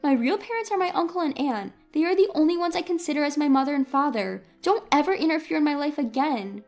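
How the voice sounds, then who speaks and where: A woman's voice: sits high, lively intonation, fast talker, darkish tone, faint music behind. A woman voicing a hurt, defiant young woman who speaks to her parents with emphatic reproach. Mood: angry, reproachful.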